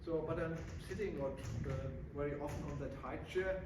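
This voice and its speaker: A German man in his sixties with a German accent, a physiologist giving a presentation, often pausing with "uh" as he searches for words. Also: on the high side. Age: 60s. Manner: halting, searching for words with many uh fillers. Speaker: physiologist giving a guest talk. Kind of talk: presentation to an audience. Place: large echoey hall. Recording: echoey room from far off, noisy.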